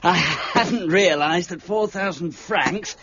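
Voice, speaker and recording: An actor playing a courteous, reserved gentleman in an audio drama, speaking politely and mildly. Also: high-pitched, takes it slowly, a crowd in the background. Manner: polite, mild. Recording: dull, muffled audio.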